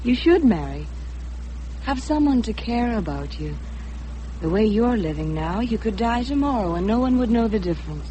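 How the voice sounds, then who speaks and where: A woman's voice: on the high side, very animated, moderate pace, mellow, lo-fi sound. An actress playing a poised, candid young rich woman in a radio drama, speaking directly with cool composure. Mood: composed, candid. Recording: noisy vintage radio drama recording.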